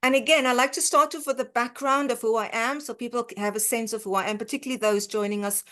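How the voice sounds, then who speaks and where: A woman from South Africa with a South African accent, a dietitian giving an online lecture, often pausing with fillers and restarting her sentences. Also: on the high side, steady tone, a bit bright. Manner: hesitant, many fillers and restarts.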